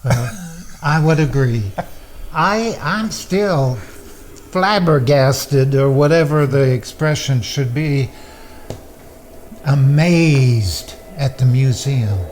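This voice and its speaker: Slow, dry-humored older man. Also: medium pitch, lively intonation, a little mellow, slight background noise. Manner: unhurried, halting, dry humor. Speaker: guest, host's father. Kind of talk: casual chat. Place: his condo, indoors.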